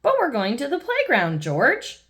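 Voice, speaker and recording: A woman's voice: sits high, wildly swooping pitch, unhurried, fairly bright tone, voice pushed up, exaggerated voice. A woman voicing a curious, cheerful little girl piglet who asks eager questions.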